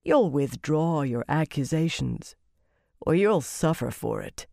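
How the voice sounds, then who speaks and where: A narrator voicing a stern woman commander, mild-voiced but firm and quietly menacing, in a fantasy audiobook. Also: mid-range voice, expressive, slow, creaky, no echo, clean studio sound. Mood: restrained anger.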